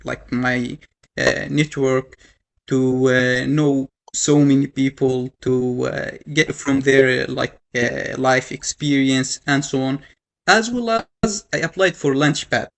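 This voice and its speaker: Halting young man, slow with gravelly fry. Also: medium pitch, fairly even intonation. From Yemen. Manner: halting, many fillers and restarts. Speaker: panel speaker. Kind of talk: personal story told to an audience.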